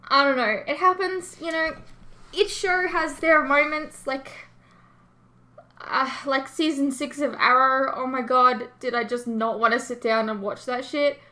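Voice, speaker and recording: A woman's voice: high-pitched, steady tone, unhurried, sharp tone. An Australian woman chatting casually to her viewers as a reaction host, informal, rambling and self-deprecating as she reads and answers comments.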